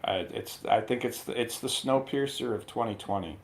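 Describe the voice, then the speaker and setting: A man reviewing a film in casual radio chat, halting as he searches for words. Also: mid-range voice.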